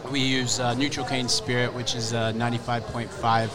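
A man who founded a spirits brand speaks casually in an interview, halting often with fillers and restarts. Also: mid-range voice, level tone, music in the background. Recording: outdoor crowd noise.

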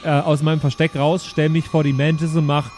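A man's voice: a little high, flat delivery, brisk, music in the background. A man casually improvising his character's actions as a player in a tabletop roleplay.

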